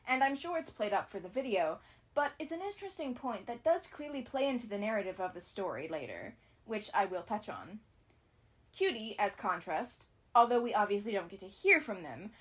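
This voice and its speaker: A woman's voice: mid-range voice, a bit bright. A woman giving scripted analysis commentary as a video essayist, with casual asides.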